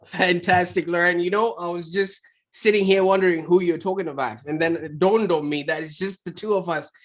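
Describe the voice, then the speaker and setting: Guest, male, from Zimbabwe, a bit high-pitched, talkative storyteller on a call. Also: brisk. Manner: chatty, animated storyteller. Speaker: guest, agency founder. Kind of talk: conversational interview over a call. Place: remote call.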